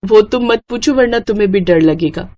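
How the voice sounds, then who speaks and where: A woman voicing a timid, gentle young bride in a family story, anxious and accommodating. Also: medium pitch, moderate pace, lightly acted.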